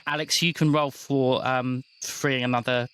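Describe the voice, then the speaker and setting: A man with a medium-pitched voice works as game master. He narrates slowly and casually, feeling his way through an improvised tabletop story with halting phrases. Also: flat delivery, background noise.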